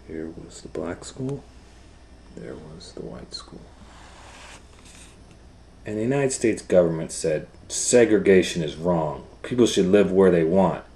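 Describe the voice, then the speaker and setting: A man reading a children's book aloud as a storytime educator, in simple, deliberate phrases with explanatory asides. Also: low-pitched, lively intonation, mellow, a little hiss or hum.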